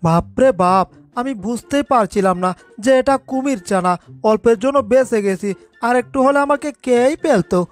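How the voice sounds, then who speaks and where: Lady doing a high voiced scaredy cartoon tomcat, fretting and exclaiming, music underneath. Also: moderate pace, natural voice. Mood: frightened. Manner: exclaiming, fretful muttering to itself. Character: panicky, put-upon male cartoon cat, easily frightened. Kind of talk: cartoon fan dub.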